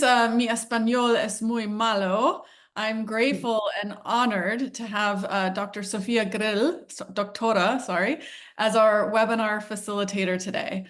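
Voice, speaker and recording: Woman host, unhurried welcome remarks, mid-pitched, correcting herself now and then. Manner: slow, measured with fillers and self-corrections. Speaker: nonprofit host introducing webinar.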